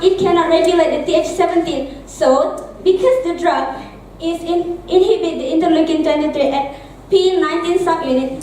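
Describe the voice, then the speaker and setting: A woman's voice: extremely high pitch, darkish tone, slight background noise. A young woman student casually explaining how a drug works in a class presentation. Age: young adult. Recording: echoey room from far off.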